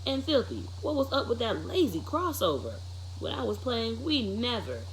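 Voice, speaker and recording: A storybook reader voicing an easygoing, joking father who talks in a colloquial, animated, ribbing way. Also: medium pitch, normal speed, pitched above the performer's own voice, poor audio. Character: easygoing joking dad, former basketball player. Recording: clear background noise.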